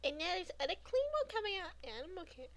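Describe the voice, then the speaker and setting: A woman's voice: very high-pitched, sing-song, fast talker. A woman game streamer chatting casually in a giggly, rambling way. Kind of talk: casual chat while live streaming.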